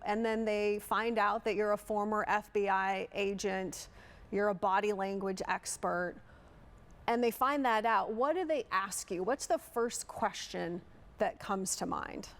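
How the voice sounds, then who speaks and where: A woman's voice: medium pitch, a little slow. A woman hosting an interview show, reading an introduction and then chatting in a friendly, talkative way. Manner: friendly, chatty, laughs easily. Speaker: host. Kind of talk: scripted intro, then casual interview chat.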